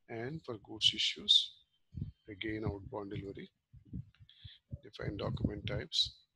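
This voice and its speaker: A man giving an informal screen-share software tutorial as a trainer, explaining step by step in halting short phrases. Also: on the low side, vocal fry, breathy edge, no echo, rough recording.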